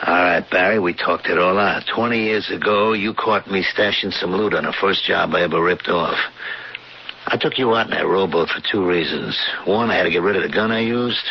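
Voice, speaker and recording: An actor playing a menacing middle-aged robber in a radio crime drama, gruff and suspicious, talking in blunt tough-guy slang. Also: sits low, fairly quick. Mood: cold, boastful. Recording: dull, noisy old radio broadcast.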